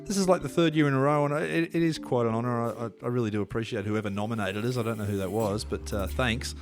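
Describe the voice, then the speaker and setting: A man's voice: medium pitch, fast talker, over music. A man hosting a podcast interview in a loose, rambling way, full of fillers and restarts. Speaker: podcast host.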